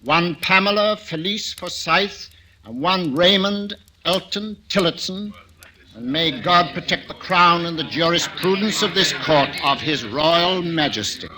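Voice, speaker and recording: A man's voice: on the high side, expressive, unhurried, rough recording. An actor playing a stern, pompous male judge who hesitates between formal pronouncements, in a 1940s radio drama.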